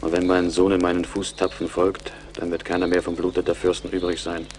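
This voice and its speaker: An actor playing a grave, proud Mohican chief in a radio play, speaking in a solemn, measured, poetic way with a gravelly voice. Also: male voice, sits low, monotone, a little hiss or hum, natural voice. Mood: solemn, mournful. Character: grave, proud middle-aged Mohican chief mourning his people. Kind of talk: German radio play acting.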